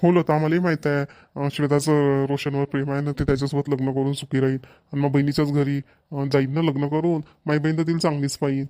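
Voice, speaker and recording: A man plays a middle-aged cartoon father from a village, in a fairly high, flat voice. He speaks with a Vidarbha Marathi accent, patiently explaining and repeating himself. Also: fast, clear, resonant tone, no room sound, clean studio sound, close to an everyday voice. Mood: placating. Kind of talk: amateur cartoon voice acting.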